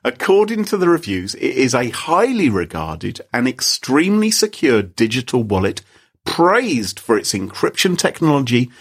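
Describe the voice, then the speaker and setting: A man's voice: medium pitch, big pitch swings, normal speed, breathy edge. A British man co-hosting a podcast, telling a story in a theatrical, teasing way. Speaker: podcast co-host.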